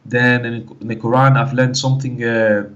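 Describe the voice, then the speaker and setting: A dull, lo-fi call carries a man with a Spanish-influenced English accent. His voice sits at a middling pitch. He talks casually in a halting, repetitive way, leaning on conversational fillers as he gives a personal account. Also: flat delivery, a bit bright. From Canary Islands, Spain. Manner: halting, repetitive, full of "like, you know". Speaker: guest caller telling his story.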